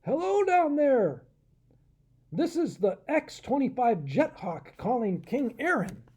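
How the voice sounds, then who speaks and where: A man voicing an excitable, reckless young raccoon inventor in an eager storybook read-aloud. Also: very high voice, takes it slowly, husky, pitched above the performer's own voice, exaggerated voice. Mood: proud. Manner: eager, exclamatory.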